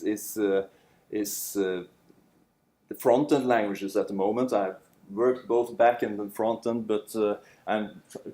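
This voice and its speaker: A man with a Norwegian accent introduces a university seminar in a loose, hesitant way, full of fillers and restarts. His voice sits fairly low. The classroom around him is roomy and echoey. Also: warm tone. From Norway. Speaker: lecturer. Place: lecture room.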